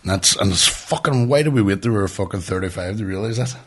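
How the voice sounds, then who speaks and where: A man's voice: fairly low pitch, fast talker, breathy edge. A man in his thirties with a Northern Irish accent, chatting casually and swearing freely in a blunt, emphatic way as a podcast host. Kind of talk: casual banter, animated storytelling.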